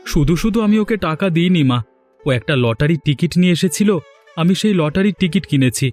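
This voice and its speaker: Here is a cartoon village son played by a man, naive and full of hope. His male voice is a little high and his delivery is simple and earnest, with some music behind. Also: expressive, brisk, darkish tone, natural voice. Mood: apologetic, earnest. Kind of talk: animated story dialogue acting.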